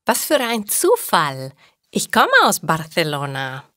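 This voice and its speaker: A young Spanish woman learning German, as the narrator reads her out in a learners' storybook. The speech is slow and careful, with a lively melody. The recording is close and dry. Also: sits low, no room sound, full character voice. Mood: friendly.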